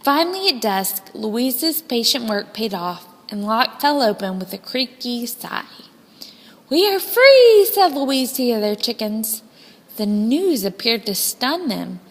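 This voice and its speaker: A woman reading a children's story as scripted narration, with expressive, deliberate phrasing. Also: a little high. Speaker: storybook reader. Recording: long hall-like reverb.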